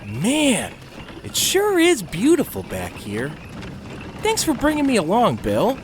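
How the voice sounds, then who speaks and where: Eager boyish intern, hugely animated. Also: male voice, high voice, takes it slowly, noisy background, full character voice. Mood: awed, enthusiastic. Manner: boyish, excitable slangy talk. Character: eager, naive young male radio intern. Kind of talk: audio drama acting.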